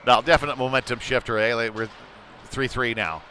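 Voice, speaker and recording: A man commentates live on amateur hockey in an informal, chatty way. His mid-pitched voice is bright and forceful. Some light ambient noise sits behind him. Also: a little fast. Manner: conversational and informal, excited when play heats up.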